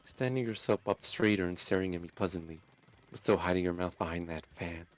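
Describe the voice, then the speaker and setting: A man with a fairly low voice reads a dramatic first-person story. His narration is deliberate and intense, carrying plenty of emotion. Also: soft background music.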